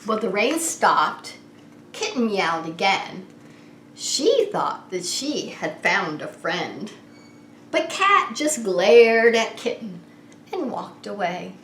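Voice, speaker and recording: A woman reading a picture book aloud to young children as a preschool story time host, expressive and unhurried, drawing the listeners in. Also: mid-range voice. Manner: animated, unhurried, asks the child listeners questions. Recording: roomy echo with faint background noise.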